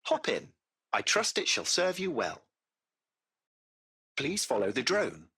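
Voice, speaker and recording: Crisp-toned, courteous AI taxi butler. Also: male voice, medium pitch, wildly swooping pitch, slow pace, sharp tone, heavily acted. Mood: grateful, courteous. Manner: formal, polite, precise phrasing. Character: courteous AI taxi-service machine, formal and butler-like. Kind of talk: scripted video game voice acting.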